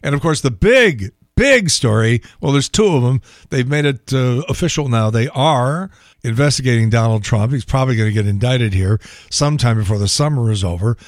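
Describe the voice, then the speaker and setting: A man with an American accent and a gravelly, thinning voice, hosting a podcast in a wry, colloquial, sometimes profane solo monologue. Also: mid-range voice, expressive, moderate pace, no echo, clean studio sound. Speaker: podcast host. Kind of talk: casual solo monologue on news and sport.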